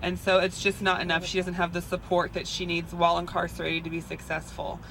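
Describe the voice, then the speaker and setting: A woman with a soft, feminine voice giving an earnest, hesitant speech at an outdoor rally, with frequent ums. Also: lowish voice, monotone, fairly quick, gravelly, low-quality recording. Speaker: rally speaker. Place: outdoors at a rally near roadway. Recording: outdoor rally with traffic noise. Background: passing cars.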